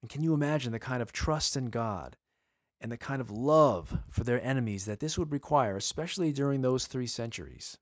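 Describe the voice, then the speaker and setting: A man giving an earnest sermon-style teaching, asking his listeners pleading rhetorical questions and slowing down to stress key words. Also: medium pitch, expressive, no echo.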